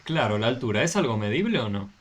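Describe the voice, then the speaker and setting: A man's voice: medium pitch, sing-song, quick pace, a crowd in the background. A man tutoring a math class over a video call, patiently explaining and often checking with questions.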